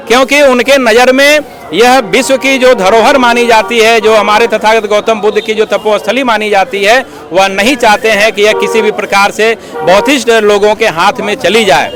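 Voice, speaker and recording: A man with a high-pitched voice gives an earnest, formal street interview. He argues his case in long explanatory answers sprinkled with repeated fillers, recorded outdoors. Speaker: interviewee, Buddhist activist.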